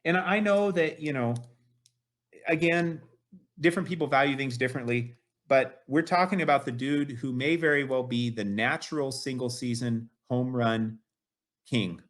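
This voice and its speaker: A man's voice: mid-range voice, moderate pace. Enthusiastic rambling man in his late fifties. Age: 50s. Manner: enthusiastic, rambling, many fillers like "you know". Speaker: guest collector. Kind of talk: casual interview chat.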